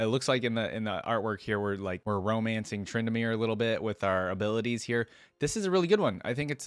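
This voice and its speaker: A man's voice: mid-range voice, no room sound. A man hosting a reaction video, commenting casually and informally as he muses and thinks aloud.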